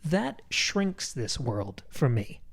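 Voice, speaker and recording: A man's voice: medium pitch, very animated, takes it slowly, gravelly. A man co-hosting a book club podcast, thinking aloud in articulate, layered literary analysis. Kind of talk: literary discussion, analytical chat.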